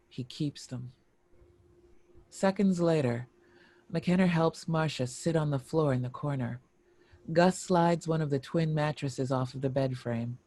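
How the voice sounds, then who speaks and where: A man reading scripted screenplay stage directions in a measured, descriptive narration. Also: fairly high pitch, dark tone.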